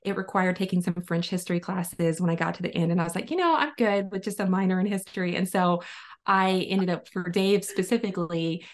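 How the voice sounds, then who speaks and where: A woman guest on a podcast, telling stories in a chatty, lively way with lots of fillers. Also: lowish voice. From United States. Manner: chatty, animated storytelling with many fillers. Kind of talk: casual interview chat.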